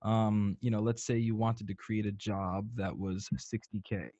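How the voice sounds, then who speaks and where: A young man with a lowish voice hosts a thoughtful one-on-one conversation. He lays out ideas in a measured, explanatory way. The sound is close and dry, slightly muffled. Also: flat delivery, warm tone, dry recording. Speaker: interviewer, host. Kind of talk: reflective conversational interview.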